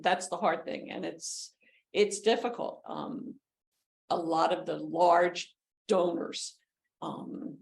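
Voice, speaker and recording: A woman's voice: on the low side, vocal fry. A woman on a conference panel, speaking earnestly and repeating phrases for emphasis. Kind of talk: casual panel talk.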